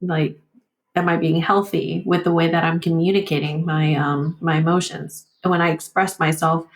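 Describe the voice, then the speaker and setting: A woman whose first language is Vietnamese, talking candidly and reflectively in an interview, halting and often saying "like" and "you know". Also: sits low, fairly even intonation, roomy sound. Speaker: podcast guest.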